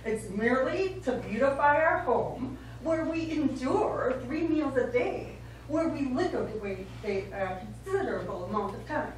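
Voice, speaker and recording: A woman's voice: high-pitched, expressive, unhurried. A woman on stage playing an earnest, argumentative wife with an emphatic, wordy delivery. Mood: irritated, insistent. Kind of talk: live stage play acting. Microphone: far camera. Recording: very echoey stage, distant mic, noisy.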